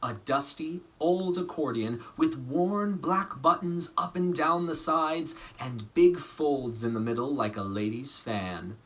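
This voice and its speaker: A man reading a children's story aloud in a measured, expressive way, pausing for emphasis. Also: on the high side. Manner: measured, dramatic phrasing with pauses for emphasis. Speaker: storybook reader.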